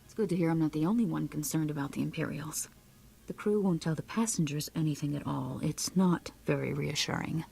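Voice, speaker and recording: A woman's voice: sits low, fairly quick, vocal fry, dark tone. An actress voicing an anxious, polite and earnest female starship passenger in a video game. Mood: uneasy. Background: game music.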